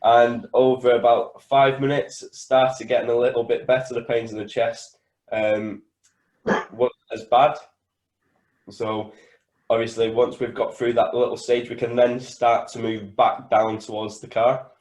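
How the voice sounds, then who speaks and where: A teenage male army cadet recounting something in a casual, halting way in an echoey room. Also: medium pitch, little pitch movement, a little mellow.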